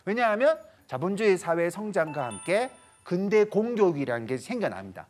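Male lecturer, 30s, expressive, swinging delivery, acts out quotes, stage mic, faint music underneath. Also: high voice. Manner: animated, acts out little quoted voices. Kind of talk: lively lecture to a studio audience. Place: large TV studio stage with audience.

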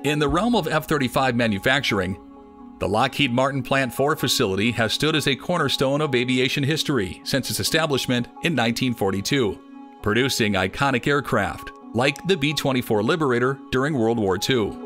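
Guy reading a documentary script, calm and steady, clear music underneath. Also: medium pitch, brisk. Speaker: narrator.

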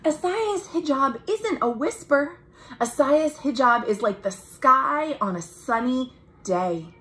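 Slow, animated woman reading to children. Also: high-pitched. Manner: expressive, deliberate delivery for young children. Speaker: children's librarian and storytime host. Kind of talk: children's picture-book read-aloud. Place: small room at home, indoors.

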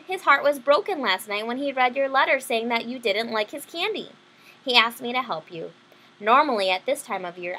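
A woman's voice: fairly high pitch, bright, forceful tone, rough recording. A woman voicing a prim, grand-talking Easter Bunny writing a letter in a storybook reading. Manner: formal, lofty.

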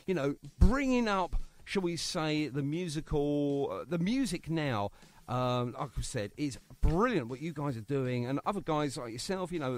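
A man hosting a radio show with a British accent, chatting casually and jokily with a guest, rambling with lots of fillers. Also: fairly high pitch. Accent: British English. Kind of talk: casual radio interview chat.

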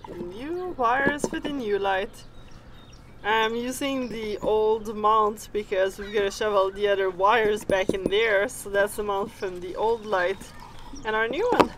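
A woman's voice: medium pitch, a little slow, noisy background. A woman in her thirties chatting casually as she explains boat repair work outdoors in a boatyard, recorded on a camera mic. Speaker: sailing vlogger. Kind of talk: casual vlog narration.

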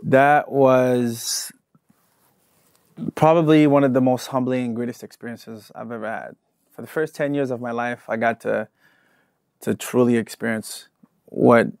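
A man in his thirties from Angola, whose first language is Portuguese, tells his story with lively gestures. He speaks at a middling pitch. The sound is close and dry, recorded in a studio. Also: no room sound. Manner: animated storytelling with hand gestures, shifting energy. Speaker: guest artist. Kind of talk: casual interview.

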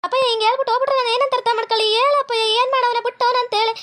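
A woman's voice: very high voice, flat delivery, rapid-fire, fairly bright tone. A woman playing a young village daughter, who pleads with her father and keeps repeating her questions. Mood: anxious pleading. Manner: pleading, repeats herself anxiously. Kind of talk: homemade family drama skit.

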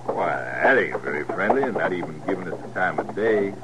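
An American actor playing a sly, mocking outlaw leader with a rustic drawl in a 1950s radio Western. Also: male voice, low-pitched, animated, a little fast. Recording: noisy 1950s radio recording.

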